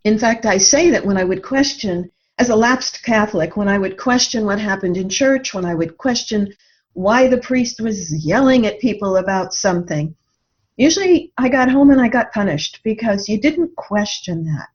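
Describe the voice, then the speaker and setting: Seeking a woman with a medium-pitched voice for a reflective author interview, conversational and animated when retelling, slightly dull recorded sound. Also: moderate pace. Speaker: guest, author and radio drama writer.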